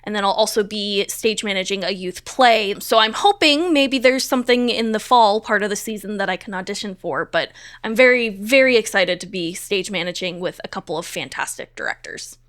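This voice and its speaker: A woman's voice: mid-range voice, expressive, a little fast, few pauses, a bit bright. A woman chatting casually as an interview guest, speaking in an effusive, emphatic way. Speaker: theatre stage manager, interview guest. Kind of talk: casual chat.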